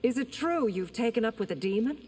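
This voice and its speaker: A woman voicing a stern, authoritative military commander in a video game, brisk and reproving. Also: on the high side, lively intonation, darkish tone, lightly acted. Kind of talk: video game character acting. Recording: clear noise behind the voice.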